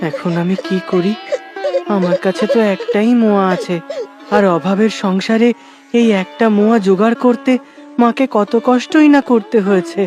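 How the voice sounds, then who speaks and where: A woman voicing a kind, tender young pupil in a cartoon, speaking in a gentle, coaxing way. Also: medium pitch, fairly even intonation, moderate pace, close to an everyday voice. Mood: thoughtful. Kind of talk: cartoon voice acting. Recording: clear music behind the voice.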